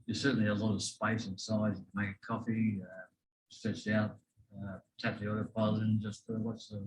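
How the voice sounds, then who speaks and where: An Australian man asking an aviation guest technical questions, soft-spoken and hesitant with many "uh" and "um". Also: fairly low pitch, level tone, moderate pace, a little hiss or hum, rough recording. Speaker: audience questioner.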